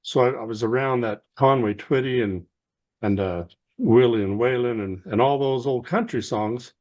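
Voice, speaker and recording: A middle-aged man, a musician guest with a low voice, telling stories in a relaxed, rambling way with lots of fillers. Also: moderate pace, muffled recording. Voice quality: lower voice. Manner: rambling storyteller, many fillers and restarts. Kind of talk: casual interview storytelling.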